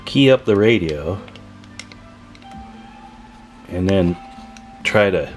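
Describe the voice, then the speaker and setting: A man giving a casual hands-on repair tutorial, talking informally and haltingly as he thinks aloud while working. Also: low-pitched, expressive, faint music behind. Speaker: video host demonstrating CB radio tune-up.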